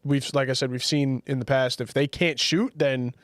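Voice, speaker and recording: A man's voice: medium pitch, fairly even intonation, moderate pace, dry recording. Nasal young man, dry mic. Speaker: podcast co-host. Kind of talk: casual sports chat.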